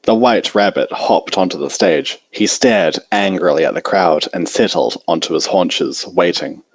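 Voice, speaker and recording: A man narrates a piece of fan fiction. His voice sits low, and the recording is dry, with very little room around it. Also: unhurried, slightly rough, no echo, poor audio. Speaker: story narrator.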